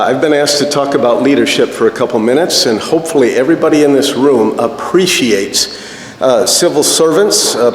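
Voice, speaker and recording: A man with a mid-pitched voice speaks informally to a gathering, joking and hesitating as he goes. The room is echoey, like a hall, and there is faint noise behind him. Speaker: speaker at society dinner meeting. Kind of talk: informal off-the-cuff speech. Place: indoor dinner meeting room.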